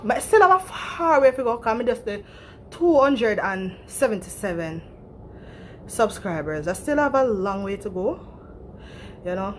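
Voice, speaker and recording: A woman's voice: on the high side, slight background noise. A woman with a Jamaican accent talking playfully to her viewers in a casual home workout vlog. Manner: chatty and playful, breathless after exercise. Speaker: vlogger.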